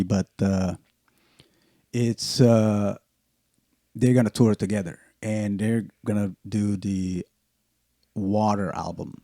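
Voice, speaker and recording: Indonesian-accented man, mellow voice, dry close sound, halting casual chat, frequent restarts. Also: lowish voice, no room sound. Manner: halting, many restarts and fillers, laughs often. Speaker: podcast host.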